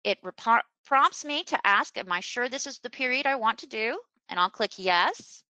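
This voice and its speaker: A woman presenting a webinar as a software trainer, explaining a screen demonstration step by step in an unscripted way. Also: a little high, animated, normal speed, no room sound, poor audio. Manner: instructional, step-by-step.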